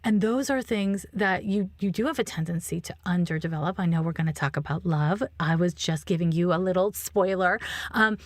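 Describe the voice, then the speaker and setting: A woman's voice: mid-range voice, no echo. A woman giving a conversational astrology reading as a podcast guest, speaking frankly and informally with casual swearing. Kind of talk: conversational birth chart reading.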